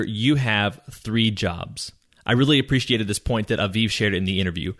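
A man hosting a leadership podcast, thanking his guest and giving an upbeat, clear summary of key takeaways. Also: lowish voice, fairly bright tone. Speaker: podcast host. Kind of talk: podcast interview and recap.

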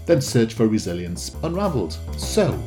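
A man's voice: lowish voice, sing-song, moderate pace, over music. A man hosting a podcast, reading an intro and then interviewing a guest with frequent ums and restarts. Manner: hesitant, many ums and restarts. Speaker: podcast host. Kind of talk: conversational interview.

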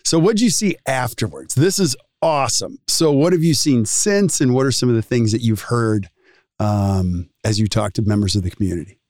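A man's voice: medium pitch, moderate pace, darkish tone, dry recording, studio quality. A man hosting a podcast interview, affirming his guest and asking her questions. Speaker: podcast host.

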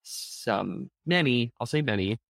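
A man's voice: medium pitch, animated, slow, vocal fry, a bit bright, no echo, clean studio sound. A young man co-hosting a casual TV recap, talking playfully and often stopping to correct himself. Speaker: podcast co-host. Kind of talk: casual chat recapping a TV show.

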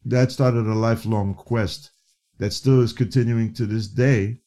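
A man from New City, a guest and educator, telling stories in a casual interview, animated and often restarting his phrases. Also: on the low side, measured pace. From New York City. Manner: animated, restarts phrases, frequent "you know".

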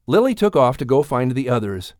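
A man's voice: mid-range voice, big pitch swings, a little fast, a little mellow, no echo, clean studio sound. A man reading a children's story aloud as a scripted audiobook narrator.

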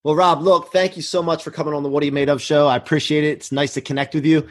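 Quick husky-voiced host. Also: male voice, fairly high pitch, level tone. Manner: laughs often, quick and eager. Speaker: podcast host. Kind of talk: casual interview chat.

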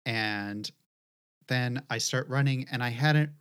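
A woman's voice: a bass voice, takes it slowly, clear, resonant tone. Middle-aged woman cohost, rambly story, swears a lot, lots of um and like. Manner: swears casually, rambling anecdote with fillers. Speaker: podcast co-host. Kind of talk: casual chat, storytelling.

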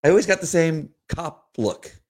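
A man's voice: a little high, animated, moderate pace. A middle-aged man co-hosting a podcast, chatting casually with his wife in a playful, rambling way and laughing often. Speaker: podcast co-host, former police officer. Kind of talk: casual chat with spouse.

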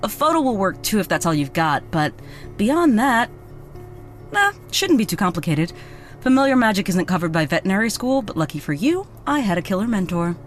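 A woman playing a chatty, excitable young veterinarian with magic, in a naturalistic audio drama. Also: medium pitch, brisk, soft background music, lightly acted. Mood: upbeat. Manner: chatty, excitable, casual swearing. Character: bubbly, frank young woman vet with magic.

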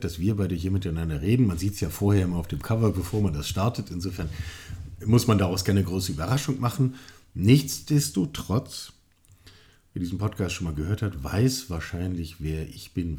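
A man hosting a casual conversational podcast, playful and lively, sitting across from his guest at a studio mic. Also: low-pitched, normal speed. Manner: playful, lively, toys with his own phrasing. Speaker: podcast host, futurist.

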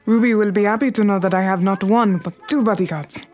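A woman's voice: medium pitch, fast talker, a little mellow, faint music behind, close to an everyday voice. An actress playing an imperious, formal Harlem numbers queen in an audio drama, poised and commanding, dropping French phrases. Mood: commanding, composed.

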